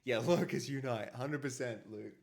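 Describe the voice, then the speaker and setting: A man hosting a casual live stream, talking with a big, loud voice and rambling, repeating himself. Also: medium pitch, normal speed, vocal fry.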